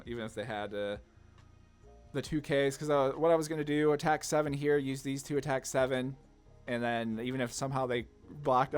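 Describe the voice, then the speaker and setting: Casual man thinking aloud, music behind. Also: mid-range voice. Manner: informal, rambling, thinking through moves aloud. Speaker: gaming content creator, player.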